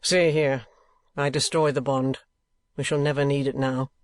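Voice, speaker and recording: A man's voice: medium pitch, level tone, normal speed, dry recording, close to an everyday voice. A man voicing a stern, cold male usurer with a harsh, commanding manner.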